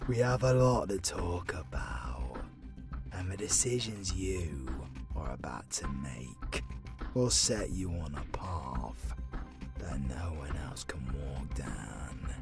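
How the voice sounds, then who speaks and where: Guy doing a gruff vengeful demon, super slow n dramatic, swings up and down a lot, fantasy roleplay. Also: extremely low pitch, animated, music in the background, full character voice. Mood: wry, welcoming. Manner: menacing, theatrical, drawn-out phrasing. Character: vengeful, honor-bound male demon, gruffly hospitable.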